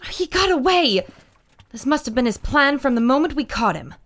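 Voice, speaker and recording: A woman's voice: high voice, sing-song, normal speed, a bit bright, exaggerated voice. A woman playing a spirited, wry radio reporter narrating adventures from the scene, in old-time serial style. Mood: excited reporting. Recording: clear background noise of vehicles, insects.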